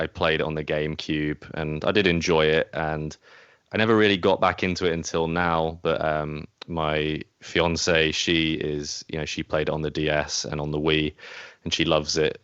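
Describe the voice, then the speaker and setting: A man's voice: very deep voice, fairly bright tone, clean tone, dry recording, dull audio. A man hosting a podcast in a casual, chatty way. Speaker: podcast host. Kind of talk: casual podcast chat and introduction.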